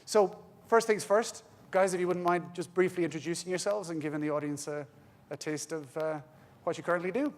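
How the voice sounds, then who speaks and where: Irish man moderating a conference panel. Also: sits high. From Ireland. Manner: jokey, warm-up banter with pauses and "uh" fillers.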